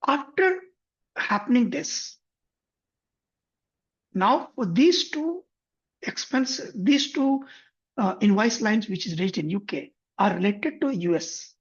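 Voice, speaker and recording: A man teaching a software tutorial, walking through an example methodically and often correcting himself mid-sentence. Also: high voice, expressive, takes it slowly, pauses often, slightly rough. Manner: methodical, step-by-step, frequent self-corrections. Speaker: software instructor. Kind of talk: screen-share tutorial explanation. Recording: dull lo-fi recording.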